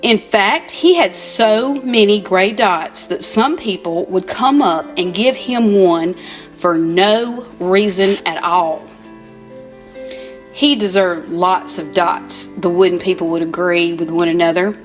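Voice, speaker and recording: An older woman reading a children's storybook aloud in an expressive, unhurried storytelling manner. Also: on the low side, faint music behind. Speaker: storybook reader.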